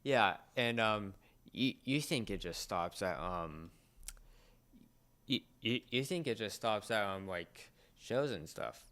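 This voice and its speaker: Halting young man with clear, low voice. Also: lively intonation, a bit bright. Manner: halting, rambling, repeats words. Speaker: podcast co-host. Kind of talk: casual podcast chat about a show.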